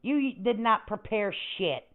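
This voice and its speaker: Female reaction host: combative, sarcastic, sweary, very lively pitch, slightly lo-fi streaming sound. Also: slow pace. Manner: confrontational, sarcastic, foul-mouthed. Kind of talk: mocking commentary on another creator's stream.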